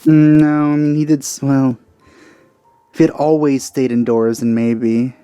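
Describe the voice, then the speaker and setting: A man giving casual, halting, nitpicky running commentary as he plays a video game. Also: mid-range voice, level tone, mellow, smooth, dry recording, soft background music. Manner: halting, self-correcting, nitpicky. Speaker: let's player commenting on a game.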